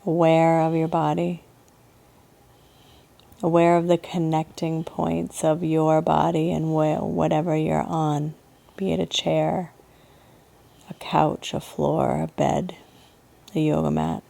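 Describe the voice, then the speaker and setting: A woman guides a meditation in a very dark, relaxed voice. Her delivery is very slow and nearly flat in melody, with gentle pauses between short phrases. She sounds like a soothing yoga teacher. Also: deep voice, vocal fry.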